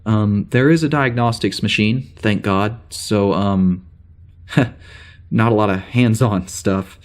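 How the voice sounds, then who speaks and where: A man playing a flustered security officer on a spaceship, recording an informal audio log in a halting, rambling way for an audio drama. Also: fairly low pitch. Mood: casual, uncertain. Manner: halting, rambling, full of hesitations and sighs.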